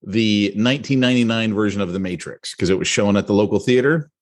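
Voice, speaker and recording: A middle-aged man co-hosting a podcast, telling a story in a rambling, animated way with many restarts and asides. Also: on the low side, fast. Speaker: podcast co-host. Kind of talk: casual storytelling chat.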